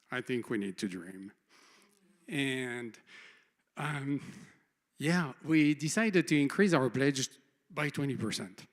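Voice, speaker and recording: A middle-aged man from France speaks to a congregation with a French accent, in a medium-pitched voice. His delivery is informal and earnest, with the hesitant phrasing of someone unused to public speaking. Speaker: congregation member, stewardship committee chair. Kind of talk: informal personal testimonial to a congregation. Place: church service, speaking before congregation.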